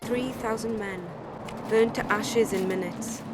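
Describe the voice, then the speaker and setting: A woman's voice: a little high, steady tone, a little slow, very noisy, lightly acted. An actress playing a resolute young female rebel commander in a video game, firm and plain-spoken. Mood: somber. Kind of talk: dramatic video game acting.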